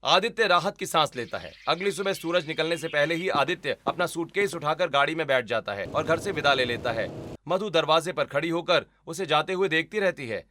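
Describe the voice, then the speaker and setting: A man's voice: a little high, expressive, brisk, sharp tone, a little hiss or hum. A man giving scripted, dramatic narration of a story as its narrator.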